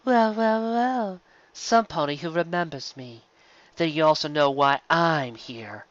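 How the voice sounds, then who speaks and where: A man voicing a haughty, evil alicorn mare villain with a grandiose, mocking, drawn-out delivery. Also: a little high, a bit bright, dry recording, highs cut off, pitched above the performer's own voice. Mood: mocking, imperious.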